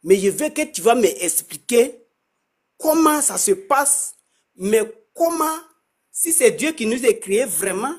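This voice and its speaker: Halting breathy man explaining patiently. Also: very high-pitched, big pitch swings. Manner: halting, repeats words, many restarts. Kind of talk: casual street conversation.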